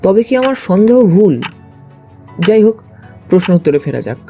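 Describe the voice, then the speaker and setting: A man's voice: high-pitched, big pitch swings, normal speed, warm tone. A man reading scripted first-person narration for a detective audio story. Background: background music.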